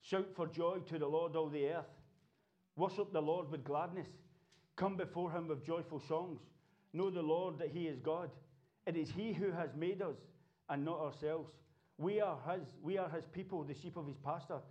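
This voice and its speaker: A man preaching a sermon, building from quiet earnestness to loud, fervent exhortation. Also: fairly high pitch, moderate pace, muffled recording. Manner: impassioned preaching, rising to shouts. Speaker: pastor. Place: church.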